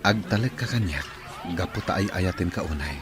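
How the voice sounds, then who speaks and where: A man's voice: deep voice, expressive, fairly quick. A man playing a devoted, reassuring boyfriend in an audio romance drama. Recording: music and outdoor crowd bustle behind.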